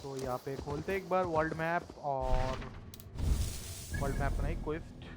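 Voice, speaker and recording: An Indian-accented man hosts a casual gameplay video. He talks very rapidly, thinking aloud in repetitive phrases, with clear music playing behind him. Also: a little high. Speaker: gaming video host. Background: game music.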